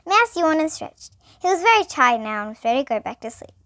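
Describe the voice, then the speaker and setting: Young girl, high voice, animated intonation, expressive storybook reading, occasional stumbles. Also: fairly quick. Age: child. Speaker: storybook reader. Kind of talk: children's bedtime story read aloud.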